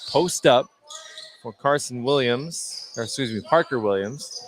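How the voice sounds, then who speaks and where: A man's voice: medium pitch, animated, measured pace. A man doing live play-by-play commentary of a basketball game for a radio broadcast. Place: at courtside in an indoor gymnasium. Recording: live game broadcast over loud gym noise.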